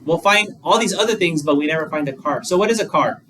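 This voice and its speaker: A man's voice: fairly high pitch, animated, fast, a bit bright. A male Buddhist monk giving a dharma talk, conversational, using plain examples and rhetorical questions. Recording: distant mic with faint room noise.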